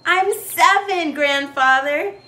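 Woman as an excited little storybook girl, high-pitched. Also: slow, exaggerated voice. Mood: delighted. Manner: eager, bright-eyed, polite. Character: excited, sweet seven-year-old birthday girl. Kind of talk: children's storybook read-aloud.

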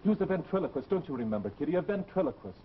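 A man's voice: a little high, very animated, racing. An actor in his thirties playing an earnest fiancé, urgent and reassuring, in early television drama style. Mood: urgent, insistent. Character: earnest young fiancé pleading with troubled beloved. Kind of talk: 1950s live television drama acting. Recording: dull, noisy old television soundtrack.

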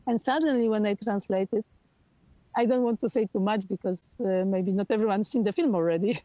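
A woman in her forties from Poland with a Polish accent, a film director talking over a video call from outdoors with an earphone mic, pausing often to search for words. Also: medium pitch, mellow, no room sound, poor audio. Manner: halting, searching for words with many fillers. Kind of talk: remote interview. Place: outdoors on a hillside.

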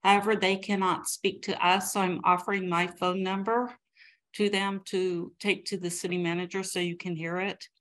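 A woman speaking as a meeting clerk, making procedural announcements politely and deliberately. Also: on the low side, flat delivery, fairly bright tone. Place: indoor council chamber.

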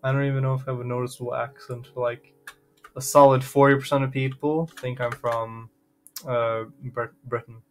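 Deadpan Norwegian streamer guy. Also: medium pitch, normal speed, faint music behind. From Norway. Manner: dry, deadpan quips. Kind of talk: casual gaming chat.

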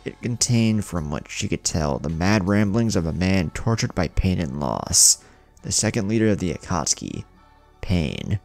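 A man narrates a dramatic scripted story. His voice is very low and gravelly with lively swings in pitch. Music plays faintly behind him. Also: moderate pace.

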